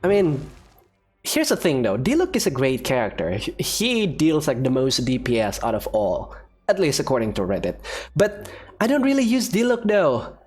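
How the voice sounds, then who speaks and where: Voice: mid-range voice, huge pitch swings, normal speed, darkish tone, breathy edge. A video game streamer giving casual, chatty commentary to viewers, rambling and thinking aloud. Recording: game music behind the voice. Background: video game music.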